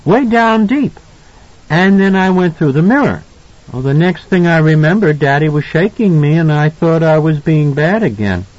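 A man voicing a frightened, confused, earnest little boy in an audiobook, with a halting, pleading delivery. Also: a little high, lively intonation, a little mellow, no room sound, voice pushed up, natural voice. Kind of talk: audiobook character voice. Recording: slightly dull, lo-fi audio.

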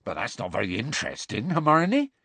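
Storybook giant, male reader: gruff, husky, genial, folksy, lively intonation. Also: medium pitch, a little fast, no room sound, heavily acted. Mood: genially dismissive. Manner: folksy, colloquial, breezily reassuring. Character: gruff, genial, easygoing giant man, stubbornly enthusiastic.

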